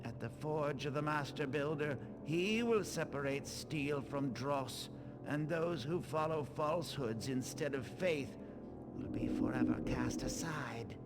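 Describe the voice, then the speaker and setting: An actor playing a stern, scornful religious brother in a video game, speaking solemn, preachy, archaic phrases theatrically. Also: male voice, fairly high pitch, unhurried, music in the background, natural voice. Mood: fervent, condemning.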